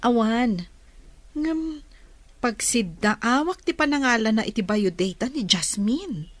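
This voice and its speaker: High, animated, worried mother. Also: slow pace, dry recording. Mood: puzzled, troubled. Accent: Ilocano. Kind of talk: Ilocano radio drama acting.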